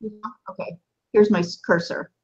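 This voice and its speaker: A woman's voice: mid-range voice, steady tone, normal speed, a little mellow, slightly rough. A woman teaching a language one-to-one online, giving short encouraging prompts and confirmations. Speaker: Arabic teacher. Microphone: online call. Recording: dull, lo-fi online call audio.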